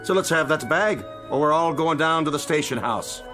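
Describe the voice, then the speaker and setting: An actor playing a gruff, stern police officer who speaks in a brusque, commanding way, in a radio drama. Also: male voice, on the high side, animated, moderate pace, bright, forceful tone, faint music behind.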